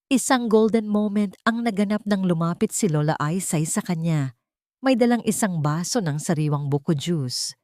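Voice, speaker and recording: A woman narrating a melodramatic audio story in a scripted storytelling style. Her delivery is expressive, and her pitch swings up and down for emphasis. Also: normal speed, no room sound, studio quality.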